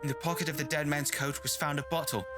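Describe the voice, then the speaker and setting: A man plays a portentous radio narrator with a fairly high male voice. He reports in measured, formal sentences, while loud brass music plays beneath him. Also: fairly even intonation, lightly acted. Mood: grave, ominous. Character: dramatic male narrator reporting a grim shipwreck.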